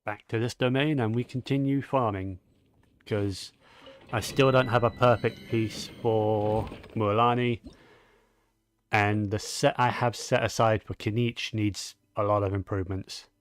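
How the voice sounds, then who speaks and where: A man casually commenting on his own gameplay, low-key and muttering to himself as he plays. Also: steady tone, unhurried, dry recording. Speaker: gaming channel host.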